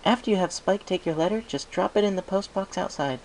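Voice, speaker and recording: A man's voice: high-pitched, fairly quick, darkish tone, a little hiss or hum, pitched above the performer's own voice, poor audio. A man voicing a bookish, studious female pony scholar, eager and polite, with precise, wordy speech. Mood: businesslike.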